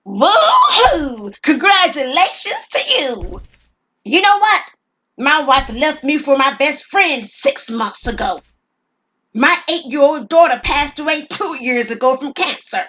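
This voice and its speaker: A woman voicing an unstable, desperate robber in his forties, with forceful, bitter delivery in an audio drama. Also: sits high, very animated, takes it slowly, pitched above the performer's own voice, full character voice, low-quality recording. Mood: agitated, bitter.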